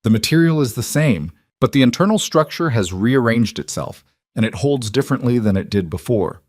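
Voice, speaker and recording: A man reading a dramatic western story as scripted narration, measured and deliberate in his delivery. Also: mid-range voice, expressive, darkish tone, no room sound, clean studio sound. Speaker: audiobook-style story narrator.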